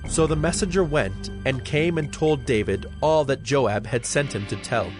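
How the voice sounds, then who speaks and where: A man's voice: medium pitch, normal speed, music in the background. A man narrating scripture from a script as part of a dramatized audio production.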